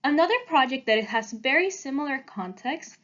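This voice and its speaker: Young woman, twenties, art student giving a prepared talk, earnest and a bit halting, fairly high voice, slightly dull recording. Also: expressive, a bit bright. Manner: earnest, halting, with small restarts. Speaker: art student presenting her work. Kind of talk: prepared presentation.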